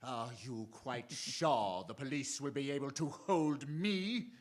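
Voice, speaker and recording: An actor playing a defiant criminal mastermind in a radio drama, with an explosive, melodramatic delivery. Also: male voice, mid-range voice, slow, close to an everyday voice. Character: furious, defiant male criminal mastermind, arch-villain. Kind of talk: theatrical radio drama acting.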